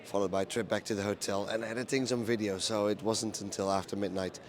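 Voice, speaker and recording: A man with a low, hoarse voice talks through a conference recap for his video channel. He speaks plainly and steadily, with frequent ums and uhs. The rasp from a lost voice stays through every line. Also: fairly even intonation, fast. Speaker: event reporter recapping a conference. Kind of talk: casual spoken recap to camera.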